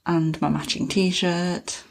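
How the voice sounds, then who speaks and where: This is a young woman co-hosting a relaxed podcast, her voice sitting a little low for a woman. She chats enthusiastically and casually, quick to agree and jump in with her own picks. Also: vocal fry. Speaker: podcast co-host. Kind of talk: casual chat.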